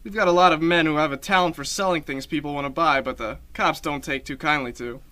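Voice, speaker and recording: A man's voice: fairly high pitch, fast, sharp tone, no echo. A young man playing a curt, dismissive man in a 1930s noir radio drama. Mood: brusque. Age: young adult. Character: brusque, dismissive man, haughty boss or busy bartender.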